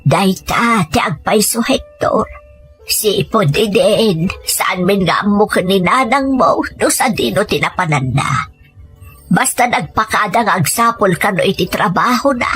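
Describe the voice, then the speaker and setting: A woman's voice: mid-range voice, expressive, measured pace, fairly bright tone, soft background music. A woman playing a caring, sorrowful elderly grandmother in an Ilocano-accented audio drama. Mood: sorrowful, patient.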